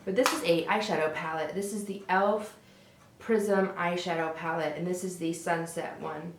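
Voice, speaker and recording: A young woman vlogging about beauty products, her voice a little raspy and hoarse as she gets over a sore throat, chatty and frank. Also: lowish voice, steady tone, moderate pace, noisy background. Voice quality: slightly raspy. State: hoarse, recovering from strep throat. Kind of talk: casual product review to camera. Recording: roomy echo.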